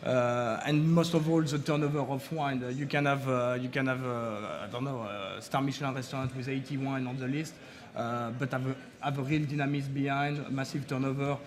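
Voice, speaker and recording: A French-accented man whose first language is French speaks on a wine panel. He pauses often on long "uh" sounds and restarts his phrases. His pitch sits mid-range. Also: steady tone. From France. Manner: hesitant, many "uh" pauses, halting. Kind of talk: panel discussion. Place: seminar room.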